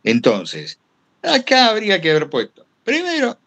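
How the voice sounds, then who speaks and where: A man's voice: high-pitched, wildly swooping pitch, moderate pace, fairly bright tone. An Argentine man giving an informal teaching talk as a veteran broadcaster, rambling through anecdotes and repeating phrases for emphasis. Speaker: workshop guest speaker, veteran radio broadcaster.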